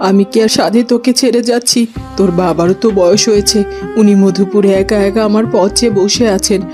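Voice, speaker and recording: Motherly fairytale queen, voiced by a woman, medium pitch, tender counsel, consoling manner, music underneath. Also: fairly even intonation, a little fast, warm tone, close to an everyday voice. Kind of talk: cartoon voice acting. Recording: music behind the voice.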